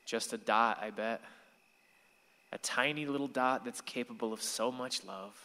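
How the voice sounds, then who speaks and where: A man playing a gentle, lovestruck sixteen-year-old boy in a radio play, earnest and tender in his delivery. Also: medium pitch, measured pace. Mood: tender, dreamy. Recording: long reverberant hall sound.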